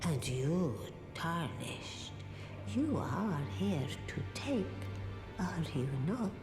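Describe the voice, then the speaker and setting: An actress playing an ancient, solemn old female oracle in a fantasy game, with a breathy, aged voice and a reverent, portentous way of speaking. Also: on the low side, very animated, roomy sound, music in the background, heavily acted. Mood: solemn, knowing. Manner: slow, reverent, portentous, archaic phrasing. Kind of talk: scripted fantasy game dialogue.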